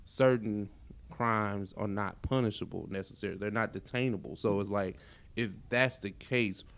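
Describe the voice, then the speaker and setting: A man's voice: lowish voice, steady tone, normal speed, gravelly. An American man co-hosting a podcast, talking casually with an American accent. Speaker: podcast co-host. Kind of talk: casual chat.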